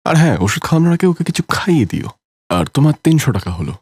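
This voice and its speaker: A man's voice: fairly high pitch, sing-song, quick pace, a little mellow, slightly rough, no room sound, exaggerated voice. A man playing a friendly, matter-of-fact village doctor in a cartoon story. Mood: friendly, reassuring.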